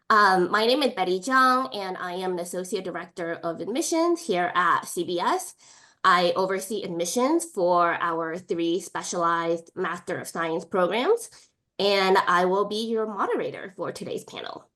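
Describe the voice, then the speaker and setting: A woman's voice: medium pitch, pushed and bright. A woman hosting an online panel as moderator, polite and organized, with frequent fillers, on a video call. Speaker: moderator, admissions director.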